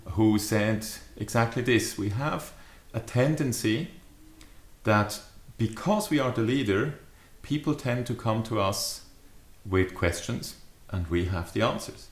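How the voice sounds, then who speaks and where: A man in his fifties giving a reflective, explanatory talk to camera as a leadership adviser, with measured, deliberate phrasing. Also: on the low side, animated. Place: small furnished study or living room, indoors. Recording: echoey living room.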